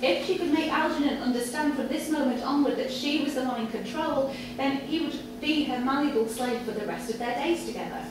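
A woman with a high voice reads a story aloud at a live event. She is heard from a distance in a strongly reverberant cafe bar, with clear noise from the room around her. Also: brisk, hardly any pauses. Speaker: reader at a live literary event. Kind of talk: live story reading to an audience. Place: indoor cafe bar with a small audience. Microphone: far camera. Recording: echoey noisy room, far from microphone. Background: audience ambience and background noise.

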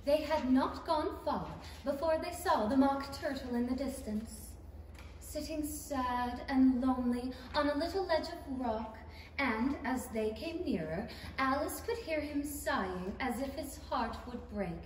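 A woman's voice: high voice, expressive, a little slow, a little hiss or hum. A woman narrating a storybook radio play from a script in an echoey hall. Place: small theatre stage. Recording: echoey hall, distant mic.

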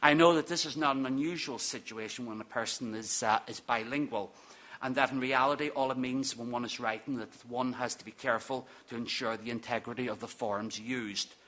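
A man's voice: medium pitch, steady tone, a little fast, a bit bright. A middle-aged man from Ireland reading a prepared lecture at a podium in a conference hall, with dry, wry asides. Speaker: academic speaker. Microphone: podium microphone.

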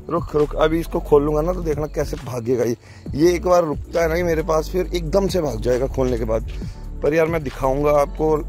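Chatty young man vlogging, higher-pitched voice. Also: a little mellow. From Himachal Pradesh, India. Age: young adult. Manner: chatty, informal, addressing viewers. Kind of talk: casual outdoor vlog chat. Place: outdoors, rural farmhouse yard and fields. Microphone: phone at arm's length. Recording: phone video with music under voice. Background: music.

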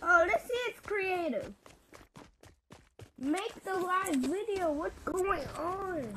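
A woman's voice: very high-pitched, slow, fairly bright tone. A woman commenting on her own game play, whining and teasing other players with playful trash talk. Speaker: gamer. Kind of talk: live gameplay commentary.

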